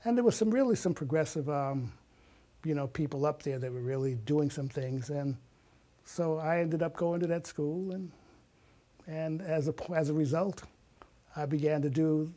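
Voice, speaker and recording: A man's voice: on the high side, normal speed, a little mellow. A man in his sixties telling personal stories in conversation as an interview guest, acting out bits of remembered dialogue. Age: 60s.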